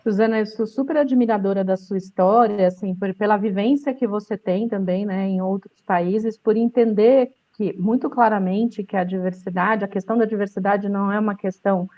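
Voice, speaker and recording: A woman's voice: medium pitch, steady tone, moderate pace, few pauses, muffled recording. A middle-aged woman moderating a panel, introducing the guests with enthusiasm. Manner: enthusiastic, warm framing of guests.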